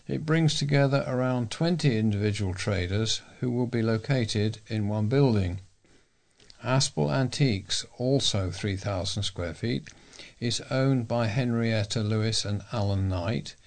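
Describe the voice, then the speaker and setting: A British man reading local news and letters aloud as a volunteer, in a measured way. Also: mid-range voice, creaky, a little mellow.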